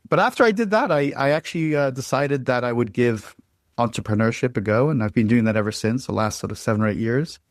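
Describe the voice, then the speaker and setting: A man's voice: fairly low pitch, no room sound, clean studio sound. A middle-aged man from Ireland with an Irish accent, giving a rambling interview answer with frequent restarts and fillers. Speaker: guest.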